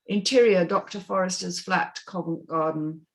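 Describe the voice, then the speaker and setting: A woman's voice: lowish voice, normal speed. A woman reading a screenplay's stage directions as scripted narration.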